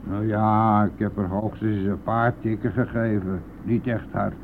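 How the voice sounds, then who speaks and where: A man's voice: on the low side, level tone, a little slow. An actor playing a chatty, coarse, self-pitying, hard-drinking bus driver who needles his passenger in a colloquial radio-drama style. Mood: rambling, self-pitying. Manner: garrulous, jovial, needling, colloquial. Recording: tinny, band-limited, noisy old radio-play recording.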